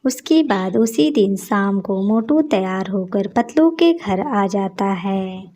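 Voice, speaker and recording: A woman's voice: mid-range voice, animated, slow, mellow. A woman narrating a scripted cartoon story as a storyteller.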